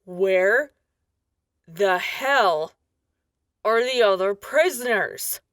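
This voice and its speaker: A woman's voice: mid-range voice, animated, extremely slow, fairly bright tone, no echo, clean studio sound. A woman playing a tough, exasperated, sarcastic wasteland sheriff in a post-apocalyptic radio drama. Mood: stern, sarcastic. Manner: gruff, bossy, sarcastic.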